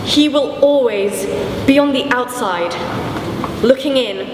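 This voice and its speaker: A woman's voice: a little high, expressive, takes it slowly. A young woman reading scripted narration in a presentational way. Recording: echoey church hall, noisy.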